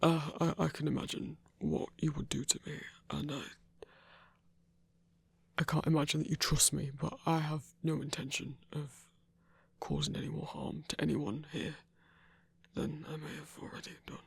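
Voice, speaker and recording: A man's voice: fairly high pitch, little pitch movement, normal speed, vocal fry, slightly rough, no echo, full character voice. A man voicing a nervous, apologetic young werewolf in an ASMR roleplay, stammering and hesitant. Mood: nervous, earnest. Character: nervous, apologetic male werewolf, gentle and awkward.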